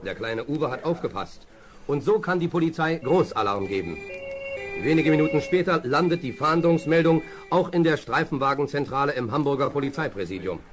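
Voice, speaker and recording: A man narrating a scripted children's radio play in a measured, dramatic storytelling manner. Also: fairly high pitch, animated, crowd noise. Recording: old radio play, background noise.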